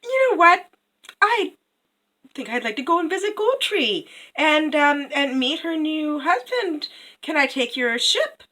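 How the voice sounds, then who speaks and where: A woman acts out a wicked storybook queen, vain and jealous. The voice is pitched high and swoops theatrically, with drawn-out, imperious phrasing and a bright edge. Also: sing-song, heavily acted. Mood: falsely sweet. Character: vain, jealous evil stepmother queen in a fairy tale.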